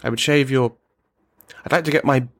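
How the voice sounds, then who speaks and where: A man's voice: mid-range voice, fairly even intonation, moderate pace, fairly bright tone, natural voice. A man playing an unhinged, paranoid loner who rambles on, taunting and insulting whoever is in the room, in an audio drama. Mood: rambling. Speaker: radio play performer. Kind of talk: radio drama monologue.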